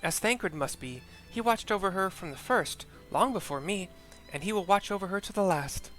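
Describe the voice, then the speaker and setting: A man's voice: high-pitched, expressive, normal speed, fairly bright tone, faint music behind, voice pushed up, low-quality recording. A man voicing an affectionate, worried motherly woman with earnest, old-fashioned formal phrasing in a fantasy game. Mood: gentle, solemn.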